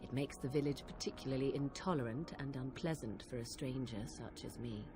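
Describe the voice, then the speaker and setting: A woman's voice: rumbling low, a little fast, noisy background. A woman playing an aloof, sardonic young witch in a video game, with archaic, haughty diction. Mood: aloof, matter-of-fact.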